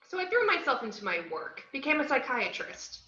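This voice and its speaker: A roomy, slightly dull recording of a brash, unhinged young antiheroine, played by a woman in a table read. She tells her own story in a bright, cheeky voice that swoops all over. It is bubbly and expressive. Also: high voice, a little fast, heavily acted. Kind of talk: first-person scripted narration in an amateur table read. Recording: roomy, muffled online call audio.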